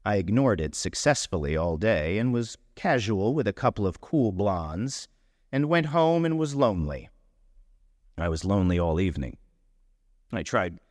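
A man reading a first-person literary short story aloud, with deliberate, dramatic phrasing. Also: low voice, darkish tone, dry recording, studio quality, long reverb tail. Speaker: story reader.

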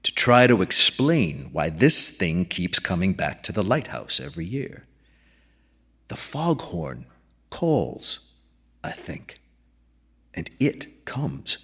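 A man voicing a brooding veteran lighthouse keeper in a story reading, wistful and mysterious, with a hushed, folksy, ruminative way of spinning a yarn. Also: sits low, big pitch swings, takes it slowly, long pauses, slightly rough, voice pushed down, full character voice. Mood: quiet, haunted.

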